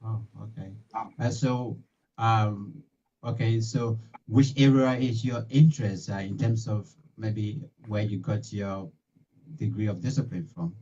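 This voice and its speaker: A middle-aged man hosting a live radio interview, talking in halting bursts with many fillers and restarts, recorded in a small home studio. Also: fairly low pitch, fairly even intonation, mellow, slight background noise. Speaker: talk show host. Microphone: studio mic with headphones. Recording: distant, dull lo-fi sound.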